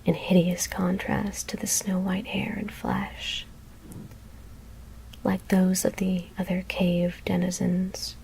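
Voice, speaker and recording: A woman whispers a horror story in a hushed, deliberate ASMR reading. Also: fairly low pitch, creaky, low-quality recording. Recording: faint background noise.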